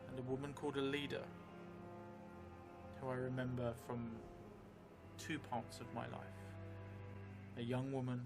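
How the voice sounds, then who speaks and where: A man in his thirties speaks with a mid-pitched voice over clearly audible music. He is measured and reflective, hosting a solo tabletop storytelling stream from a table indoors. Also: monotone. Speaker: solo role-play game player and stream host. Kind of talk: livestream tabletop storytelling and chat.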